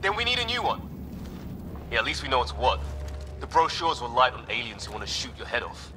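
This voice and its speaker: A man's voice: medium pitch, sing-song, brisk, noisy background, lightly acted. A man playing a wry, jittery young soldier sidekick in a sci-fi video game. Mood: uneasy. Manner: wry, colloquial.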